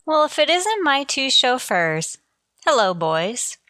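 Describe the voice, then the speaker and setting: A sardonic, quick-witted woman schoolteacher, played by an actress in old-time radio comedy. Her bright voice moves freely up and down through dry wisecracks. The sound is close and dry. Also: sits high, dry recording, heavily acted. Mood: amused, dry. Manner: deadpan, wisecracking. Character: wry, quick-witted adult female schoolteacher. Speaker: actor.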